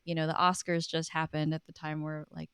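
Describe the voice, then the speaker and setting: Casual woman guest with a lower voice. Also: little pitch movement, fairly quick, fairly bright tone, clear, resonant tone, no room sound, studio quality. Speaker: guest author. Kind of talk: casual conversational interview.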